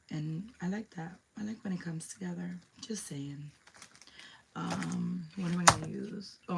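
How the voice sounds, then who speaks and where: Slow, low-voiced raspy woman crafter. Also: a little hiss or hum. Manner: chatty, with many ums and asides. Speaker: craft demonstrator. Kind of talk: casual crafting tutorial.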